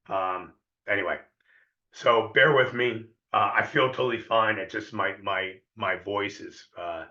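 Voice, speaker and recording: A hoarse man giving a live trading lesson in a conversational way, often repeating himself and checking in with viewers. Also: lowish voice, pushed and bright, roomy sound, highs cut off. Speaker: trading educator.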